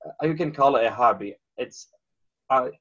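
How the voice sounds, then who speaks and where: A man in his thirties, from Lebanon, talking casually as an interview guest and pausing often on filler sounds. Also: mid-range voice, fairly bright tone, muffled recording. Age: 30s. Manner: halting, many filler uhs.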